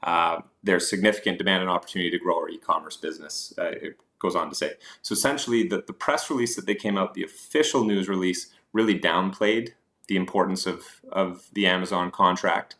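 A man's voice: sits low, brisk, a bit bright. A man presenting investing slides as a host, explaining points informally with hesitant asides.

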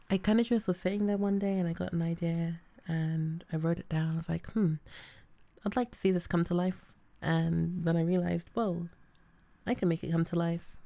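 A woman's voice: lowish voice, gravelly, mellow. A woman speaks informally and affectionately to her listeners as the creator of an audio roleplay. She is encouraging, in the loose style of a casual outro.

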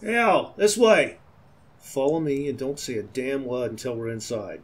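A man playing a gruff, urgent police chief in a noir radio drama. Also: medium pitch, measured pace, lightly acted. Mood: urgent, irritated.

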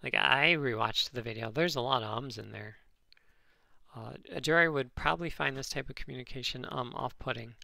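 A nasal man with a heavily gravelly, bright and forceful edge works through viewer comments from his desk. He hesitates constantly with ums and restarts, his tone dry and sarcastic. The close mic sounds very dry. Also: mid-range voice, brisk, no echo. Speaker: channel host responding to comments. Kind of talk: casual commentary reading comments aloud.

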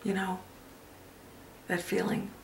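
Wistful mother, played by an actress, hushed, halting, reflective stage monologue, echoey room. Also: mid-range voice, completely flat delivery, creaky, slight background noise. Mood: wistful, tender. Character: wistful older mother recalling her lost moment of happiness. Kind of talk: dramatic audition monologue.

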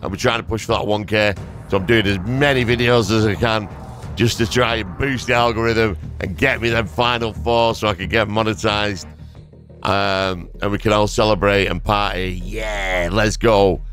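A hoarse man giving casual, rambling gameplay commentary as a gaming YouTuber, thanking his viewers. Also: lowish voice, fairly even intonation, moderate pace, a bit bright, soft background music.

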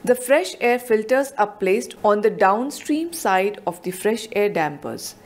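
A woman's voice: medium pitch, lively intonation. Woman reading a technical voice-over. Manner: measured, explanatory reading of technical terms. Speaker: technical narrator.

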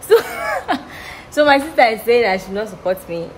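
A young woman chatting casually to her phone camera as a vlogger, laughing often and talking in an animated way. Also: on the high side, moderate pace. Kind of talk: casual vlog talk to camera. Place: indoors, hallway of a building. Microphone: phone camera at arm's length. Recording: noisy phone vlog audio.

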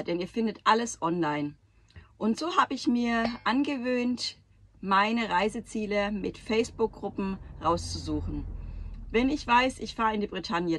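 A woman's voice: mid-range voice, a bit bright, no room sound. A woman in her thirties, a travel vlogger talking to camera from inside a camper van, explaining step by step how she plans trips. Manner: clear, instructive, lists items one by one. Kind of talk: explanatory vlog talk to camera. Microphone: camera at close range across a table.

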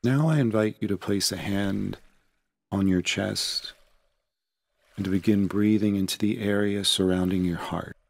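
A man narrating a guided meditation in a gentle, unhurried, soothing manner. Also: lowish voice, fairly even intonation, vocal fry.

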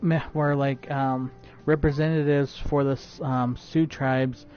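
A man's voice: medium pitch, little pitch movement, slow pace, soft background music. A man live streaming while he plays a game, talking casually and stuttering often with many fillers and restarts. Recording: dull lo-fi stream audio.

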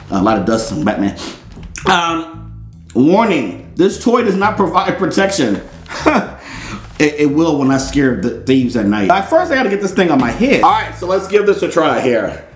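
A middle-aged man with an American accent hosting a casual, comedic unboxing in a playful, theatrical way. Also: fairly high pitch. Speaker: unboxing host. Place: small indoor studio set. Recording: roomy, echoey indoor sound.

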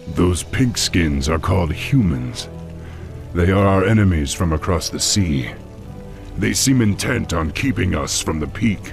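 An actor playing a young orc war chief in a video game, calm, firm and commanding. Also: male voice, creaky, over music, heavily acted. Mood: wary. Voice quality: very deep. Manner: measured, firm.

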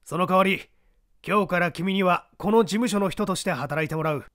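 A male narrator voicing an excitable middle-aged private detective who talks in an old-fashioned, lordly way. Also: sits high, fairly quick, voice pushed up. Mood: apologetic. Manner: old-fashioned lordly speech, flustered and effusive.

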